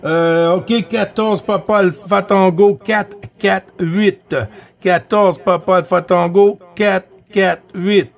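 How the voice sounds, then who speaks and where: High-pitched Quebec man on CB radio. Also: steady tone, takes it slowly, creaky. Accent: Quebec French. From Quebec, Canada. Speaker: amateur CB radio operator. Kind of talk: casual CB radio contact, calling stations.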